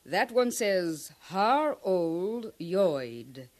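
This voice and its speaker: Puzzled glyph translator, deliberate, slow, animated intonation, vintage radio drama. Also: male voice, high voice, full character voice. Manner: halting, deliberate. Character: scholarly translator of ancient glyphs, earnest and puzzled. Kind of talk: 1950s radio drama acting.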